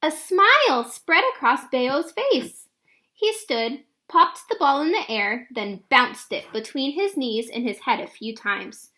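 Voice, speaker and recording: A woman reading a children's picture book aloud in an expressive storytelling style. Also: high voice, slow pace. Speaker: read-aloud narrator.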